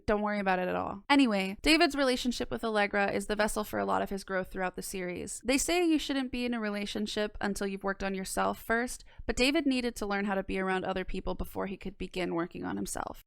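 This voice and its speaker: Young woman, crisp voice with fry. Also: mid-range voice, no echo, studio quality. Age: young adult. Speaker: video essayist, reviewer. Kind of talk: video essay narration, conversational analysis. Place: small home studio room. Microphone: close microphone on boom arm.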